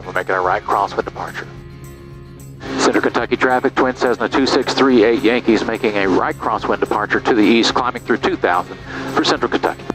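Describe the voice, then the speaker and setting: A man's voice: lowish voice, fairly quick, music in the background, low-quality recording. American man on an aviation headset. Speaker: pilot and vlogger. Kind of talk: casual cockpit vlog and radio calls. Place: small twin-engine airplane cockpit. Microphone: aviation headset boom mic.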